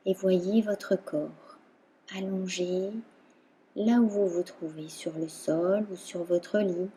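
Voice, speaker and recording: A woman instructor guiding a relaxation meditation in a calm, soothing manner, leaving long pauses between phrases. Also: lowish voice, breathy edge. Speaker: yoga nidra instructor.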